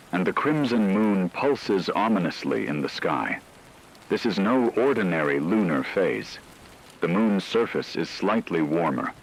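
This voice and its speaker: A man performs a solemn male news anchor in a radio drama, with a deep voice. He reads ominous emergency bulletins in a measured, scripted way, with a light bed of noise behind him. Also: rough recording. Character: grave male radio news anchor reporting a werewolf apocalypse.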